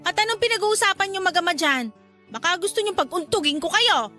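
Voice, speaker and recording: A woman's voice: extremely high pitch, expressive, fairly quick, pushed and bright, soft background music, full character voice. An actress playing a strict, hot-tempered mother who sells goods, nagging and scolding in a radio drama. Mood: stern, scolding.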